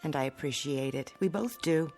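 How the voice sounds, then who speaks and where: Anxious low-voiced mother. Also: moderate pace, darkish tone, soft background music. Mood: polite. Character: anxious, polite, appeasing mother. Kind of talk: radio drama acting.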